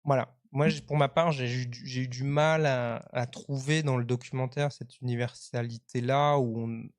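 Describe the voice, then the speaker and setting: A man's voice: mid-range voice, steady tone, dry recording. Hesitant man in his thirties, dry close sound. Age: 30s. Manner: hesitant, halting, conversational. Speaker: live stream host. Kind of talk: live commentary on web culture, casual press review.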